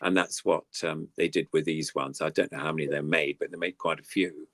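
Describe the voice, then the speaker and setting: A man's voice: very deep voice, fairly even intonation, brisk, fairly bright tone, no echo, studio quality. An older man chatting casually and reminiscing as a guest puppeteer, in a rambling, enthusiastic way. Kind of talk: casual chat, reminiscing about old TV puppetry.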